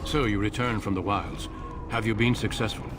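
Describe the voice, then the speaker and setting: Casting: actor as grave senior commander, fantasy game, lowish voice, formal authority, clearly noisy backdrop. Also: male voice, fairly even intonation, moderate pace, natural voice. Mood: businesslike. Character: solemn, commanding senior male warrior leader of a secret order. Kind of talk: fantasy video game acting.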